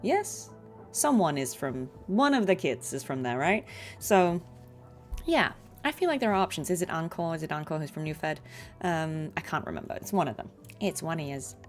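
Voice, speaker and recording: A woman's voice: deep voice, expressive, normal speed, soft background music. A woman streamer giving casual game commentary in a chatty, reflective, encouraging way. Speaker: streamer and voice actor.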